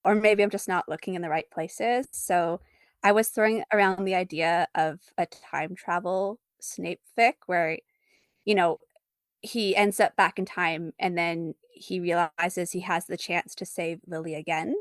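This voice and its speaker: A woman's voice: fairly low pitch, fairly even intonation, a little slow, no room sound, clean studio sound. A woman speaking casually as an interview guest, often laughing as she talks. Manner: giggly, laughs often while talking. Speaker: interview guest, artist and fan fiction author.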